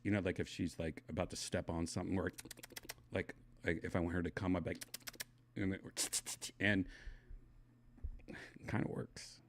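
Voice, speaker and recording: A middle-aged man from Wisconsin with a slight lisp, chatting casually and reading his stream chat, loose and mumbling, trailing off into quiet asides. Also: deep voice, flat delivery, normal speed, pauses often, slight background noise. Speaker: livestream host reading chat.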